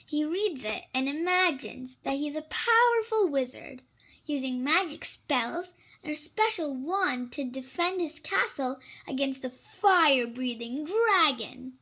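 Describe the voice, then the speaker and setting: A reader with an expressive style reads a storybook aloud for young children, adding simple exclamations and asides. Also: high-pitched, unhurried.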